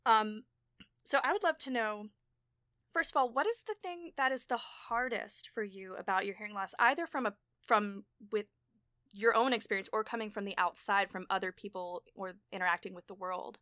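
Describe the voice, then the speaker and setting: A woman's voice: medium pitch, normal speed, sharp tone, no echo, studio quality. A woman hosting a podcast in casual conversation, chatty and laughing often as she tells stories. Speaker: podcast host.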